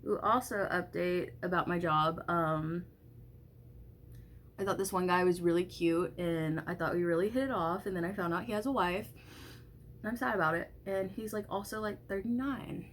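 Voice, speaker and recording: A woman's voice: lowish voice, moderate pace, a little hiss or hum. Congested woman, early twenties, loose rambling vlog chatter with swearing. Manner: rambling, filler-heavy, swears casually. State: congested, stuffy nose. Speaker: vlogger. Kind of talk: casual get-ready-with-me chat. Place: at home doing makeup.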